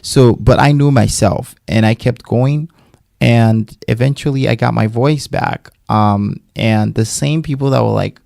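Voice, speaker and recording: A man's voice: medium pitch, measured pace, vocal fry, no echo, clean studio sound. A man, a singer and podcast guest, talking casually and emphatically about his music and life. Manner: animated, emphatic storytelling with laughs.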